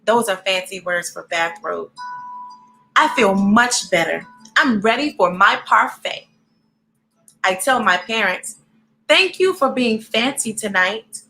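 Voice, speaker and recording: An animated, encouraging woman hosting a storybook read-aloud, speaking directly to children in an expressive way. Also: medium pitch, slow, vocal fry, faint music behind, poor audio.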